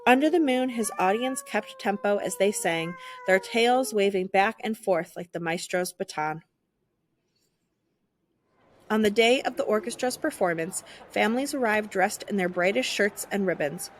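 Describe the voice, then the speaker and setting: A woman narrating a children's picture book aloud in an expressive, theatrical storytelling style. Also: mid-range voice, moderate pace, slight background noise.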